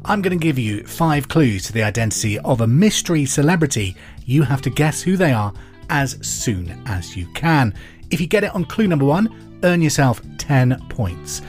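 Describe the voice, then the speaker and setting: A man's voice: medium pitch, sing-song, faint music behind. Man hosting a pub quiz. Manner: reads each question twice, slowly and clearly. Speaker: quiz host.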